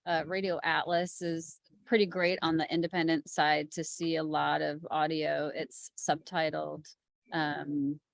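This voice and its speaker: A woman's voice: sits low, level tone, unhurried, gravelly, no echo. A woman speaking in a conversational, plain-spoken way as a producer on an informal panel. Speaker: executive producer, panel guest.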